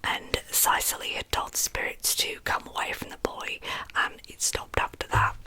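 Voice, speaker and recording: Asmr lady whispering true crime, lispy, breathy, super bright hissy whisper, chatty storyteller. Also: moderate pace. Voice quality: lisp. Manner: informal chatty asides.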